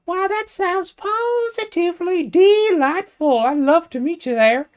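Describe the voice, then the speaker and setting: A woman's voice: very high-pitched, measured pace, darkish tone, voice pushed up. Woman doing a coy genteel young lady. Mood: genteel sweet. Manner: old-fashioned genteel, hesitant and coy. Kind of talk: comic storytelling.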